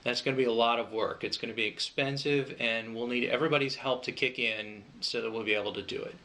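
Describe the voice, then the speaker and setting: Guy doing an informal fundraiser pitch, bright forward voice, explains stuff conversationally. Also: fairly even intonation, quick pace. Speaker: project creator pitching a fundraiser.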